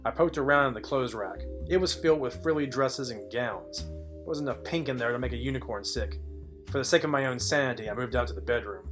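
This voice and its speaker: Male actor as hard-boiled noir gumshoe: dry, sardonic narration, mid-pitched voice, music underneath. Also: lightly acted. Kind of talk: film noir audio play narration.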